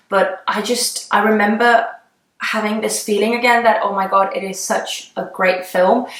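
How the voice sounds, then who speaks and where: A young woman from Estonia, a native Estonian speaker, talks through a casual question-and-answer vlog. Her voice sits at an ordinary middle pitch, and she gushes about her favourites with many fillers and sentences that trail off. The sound carries a noticeable echo from the room. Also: a bit bright. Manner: chatty, gushing, many fillers and trailing clauses. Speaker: beauty vlogger. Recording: echoey room.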